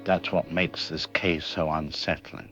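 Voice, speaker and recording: A man playing a professor interviewed as an expert in a radio drama, speaking in a measured, analytical way. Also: very deep voice, level tone, a bit bright. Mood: calm, grave. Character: grave male professor explaining a killer's mind. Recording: dull lo-fi radio sound with faint music.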